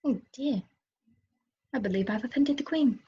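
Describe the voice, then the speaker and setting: A woman's voice: on the high side, moderate pace, warm tone, reverberant, highs cut off, natural voice. A woman playing an earnest, polite, puzzled young girl in a children's readers' theater performance. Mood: polite, apologetic. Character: lost, polite but questioning young girl.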